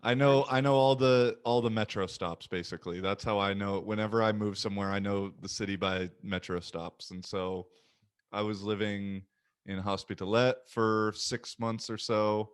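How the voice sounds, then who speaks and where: A young man hosting a podcast, chatting casually and animatedly, with quick asides and little voice impressions in his stories. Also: on the low side, fairly even intonation, clear, resonant tone. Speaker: podcast host. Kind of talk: casual conversation.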